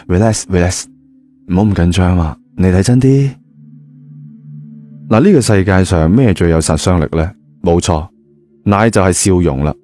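A cheeky, confident young man, acted by a male storyteller in an audio novel. He speaks Hong Kong Cantonese in a deep, mellow voice, teasing his boss nonchalantly. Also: a little slow, long pauses, soft background music. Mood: confident, soothing.

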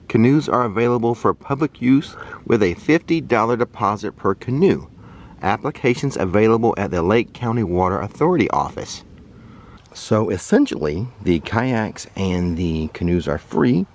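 A man in his forties with an American accent, chatting upbeat to viewers as he kayaks and tours a riverside park, filming himself at arm's length outdoors. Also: mid-range voice. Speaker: travel vlogger. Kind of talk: casual travel vlog narration. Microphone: camera held at arm's length.

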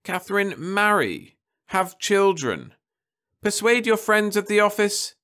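A man's voice: sits high, sing-song, a little slow, bright, forceful tone, voice pushed up, exaggerated voice. A man voicing a stern, earnest middle-aged mother who speaks firmly and insistently. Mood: urgent. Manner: firm, insistent.

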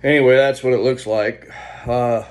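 A man in his fifties chatting casually to a phone at home, unhurried and meandering, often trailing off. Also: medium pitch, steady tone, a little mellow. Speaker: vlogger. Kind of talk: casual rambling vlog chat. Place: indoors, small room at home. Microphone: phone at arm's length. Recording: faint background hum on phone recording.

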